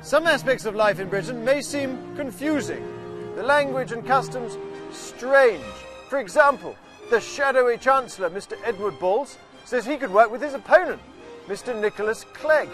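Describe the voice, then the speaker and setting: Man, very high voice, chirpy deadpan narration, music playing behind. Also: moderate pace. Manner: cheery, deadpan satirical. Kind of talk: mock public information film narration.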